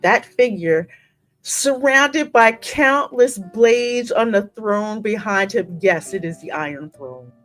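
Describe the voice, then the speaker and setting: A woman reading a story game aloud as a streamer, with expressive delivery and chatty asides. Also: medium pitch, takes it slowly. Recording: music running behind the voice.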